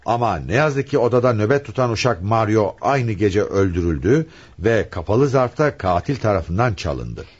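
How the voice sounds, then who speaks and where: A man's voice: on the low side, lively intonation, moderate pace, husky, dull audio. A man acting a commanding homicide commissioner in a radio play, with an emphatic, questioning, theatrical delivery. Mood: authoritative, revealing.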